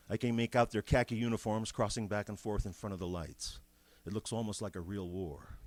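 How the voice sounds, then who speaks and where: An actor playing a male army signal captain reporting from the field in a 1930s radio drama, calm and official. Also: fairly low pitch, natural voice. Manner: calm official tone rising into alarmed shouting. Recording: old radio broadcast recording.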